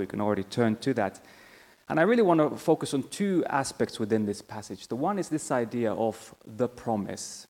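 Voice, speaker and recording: A man's voice: medium pitch, darkish tone. A man with a Finnish accent preaching a sermon to a church congregation. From Finland. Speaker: church member preaching, theological college staff. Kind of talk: sermon with scripture reading and prayer. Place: church hall, speaking to a congregation. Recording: echoey hall with long reverb.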